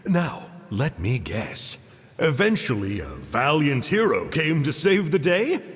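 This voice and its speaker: A man's voice: on the high side, sing-song, slow, a bit bright, in a large hall, exaggerated voice. A man voicing a quirky, genteel man in a game, speaking in a folksy, theatrical and polite way. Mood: curious. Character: quirky genteel man called Uncle Glasses. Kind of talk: game dialogue voice acting.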